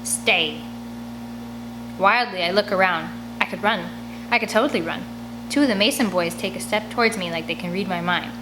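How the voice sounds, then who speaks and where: A woman narrates a children's audiobook in the first person, reading expressively at a medium pitch. The home recording carries clear background noise. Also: a little fast. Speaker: audiobook reader. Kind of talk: expressive first-person story read-aloud.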